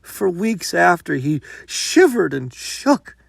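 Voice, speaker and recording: A man narrates a folktale in a theatrical storytelling style. His pitch moves widely and expressively, and he takes his time to build suspense. The sound is close and dry. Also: sing-song, slow pace, vocal fry, no room sound. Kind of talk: dramatic scripted narration.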